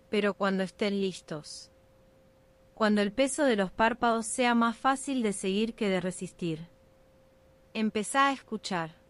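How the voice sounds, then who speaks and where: A woman narrating a scripted ASMR sleep meditation in a soothing, unhurried, hypnotic way. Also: mid-range voice.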